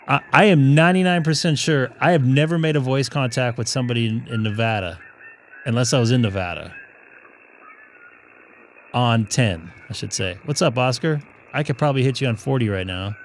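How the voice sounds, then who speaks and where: A man's voice: mid-range voice, fairly quick, a little hiss or hum. A man hosting a ham radio live stream, chatting casually and poking fun at himself. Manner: self-deprecating, rambling. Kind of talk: casual on-air chat.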